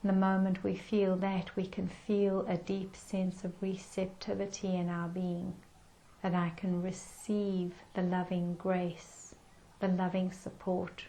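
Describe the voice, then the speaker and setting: A woman leading a guided meditation in a gentle, soothing manner with unhurried pauses. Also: lowish voice, completely flat delivery, rough recording.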